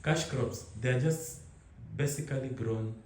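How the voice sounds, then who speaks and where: A man's voice: medium pitch, measured pace, roomy sound, slight background noise. A young Kenyan man with a Kenyan accent, chatting easily and answering questions, restarting his words often. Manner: relaxed, rambling, frequent restarts and laughs. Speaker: interviewee. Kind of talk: casual conversation.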